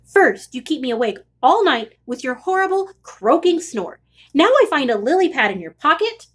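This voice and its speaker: A woman's voice: high-pitched, very animated, normal speed, fairly bright tone. A woman voicing an exasperated storybook princess who scolds and complains. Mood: fed up.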